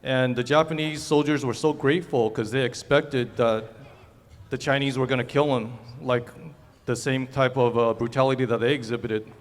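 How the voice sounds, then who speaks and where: Earnest guy from taiwan giving a speech, mid pitch, long hall echo. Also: steady tone. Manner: earnest, halting with fillers. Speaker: guest speaker. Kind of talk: prepared speech at a forum. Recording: reverberant hall.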